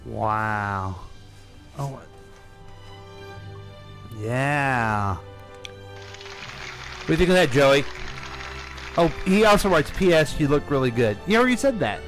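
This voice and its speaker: Middle-aged man, lively podcast host. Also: mid-range voice, slow pace, pauses often, soft background music. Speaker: podcast host asking questions. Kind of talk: casual chat. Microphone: close studio microphone.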